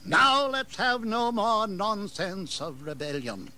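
An actor playing a weary, proud older colonial governor in an audio history drama, with a stately delivery. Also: male voice, high-pitched, very animated, takes it slowly, sharp tone, heavily acted. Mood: stern.

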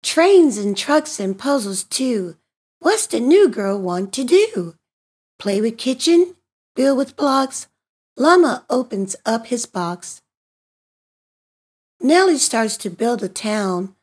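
A woman reading a children's picture book aloud in an expressive, deliberate, sing-song way for young listeners. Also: a little high, husky. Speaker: storybook reader and host of a read-aloud channel.

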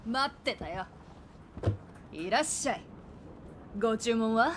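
A woman's voice: a little high, animated, slow pace, background noise. An actress playing a brash, playful young female mechanic in a Japanese video game, with tomboyish, teasing, slangy speech.

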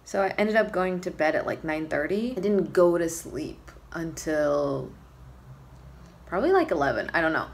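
A woman's voice: deep voice, expressive, moderate pace, gravelly. A woman in her twenties chatting casually to camera, tired and groggy, in a rambling, self-deprecating, informal way. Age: 20s. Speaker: vlogger. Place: small room at home, indoors. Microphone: phone or camera at arm's length.

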